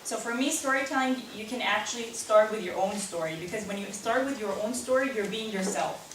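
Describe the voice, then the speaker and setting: A woman's voice: medium pitch, fairly quick. Earnest female speaker taking audience questions; recorded from afar in a strongly echoing room. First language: not German; English not stated as first. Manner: earnest, personal, answers at length with restarts. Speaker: speaker at a lightning talk event. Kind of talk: live talk with audience Q&A. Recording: very echoey room, distant mic, faint noise.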